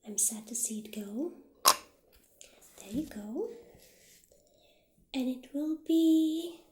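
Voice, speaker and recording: Female, high soft voice, slow and hushed with whispering, ASMR witch-shop roleplay, slight noise and clicks in recording. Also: expressive. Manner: gentle, hushed, unhurried, addressing the listener as a customer. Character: soft-spoken witch shopkeeper, calm and obliging. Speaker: ASMR roleplay performer playing a shopkeeper.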